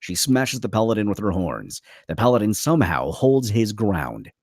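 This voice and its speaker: A man's voice: on the low side, big pitch swings, normal speed, darkish tone, slightly rough, no echo, studio quality. A man reading screenplay stage directions as the narrator of a table read.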